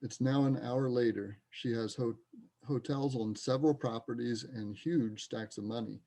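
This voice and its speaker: A man's voice: mid-range voice, normal speed, dark tone. A man narrating stage directions in a scripted reader's theater performance.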